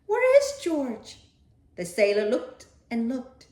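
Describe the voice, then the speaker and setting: Female storyteller for children's read-aloud: very lively intonation, unhurried, playful and dramatic, recorded in an echoey room. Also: fairly high pitch. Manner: animated, dramatic, with playful asides to a young listener. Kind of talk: expressive children's picture book read-aloud.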